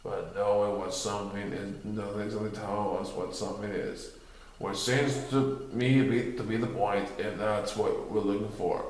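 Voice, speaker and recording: Man as a morose storybook donkey, low-pitched, rambling, hesitant, in a very echoey room. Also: fairly even intonation, moderate pace, darkish tone, lightly acted. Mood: gloomy, ponderous. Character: gloomy, slow-witted, ponderous male donkey.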